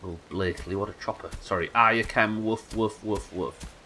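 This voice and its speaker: A man with a nasal voice, a little hoarse from illness, chatting wryly and swearing casually while streaming a game live. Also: fairly low pitch, fairly even intonation, moderate pace, sharp tone, a little hiss or hum. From United Kingdom. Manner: chatty, wry, swears casually, muttering asides. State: sick, slightly hoarse.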